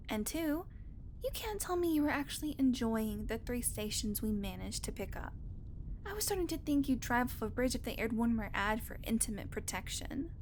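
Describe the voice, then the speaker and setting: A woman's voice: a little high, darkish tone, a little hiss or hum. A woman playing a snarky, irreverent vampire passenger in an audio roleplay, talking casually with sarcastic, teasing complaints. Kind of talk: audio roleplay acting, conversational monologue to the listener.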